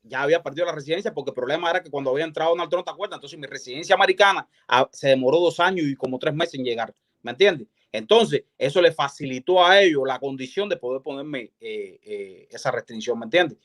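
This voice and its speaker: A Cuban man with a slightly high voice talks very quickly in an impassioned political style. He is insistent and emphatic, repeating his points and checking that listeners follow. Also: fairly bright tone. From Cuba. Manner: insistent, repetitive, emphatic, peppered with check-in questions. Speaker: activist guest calling in to a live show. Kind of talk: impassioned political talk on a live stream.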